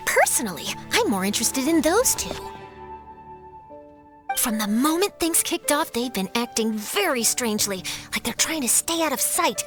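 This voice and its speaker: A bubbly young reporter in a game cutscene, eager and scheming. Her voice is very high and swoops freely, with light music underneath. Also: sing-song, normal speed, a bit bright. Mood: breezy. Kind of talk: video game cutscene acting.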